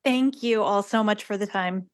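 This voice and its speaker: A woman's voice: mid-range voice, normal speed, clear, resonant tone. A woman speaking casually and earnestly as an interviewed theater director, with an enthusiastic manner.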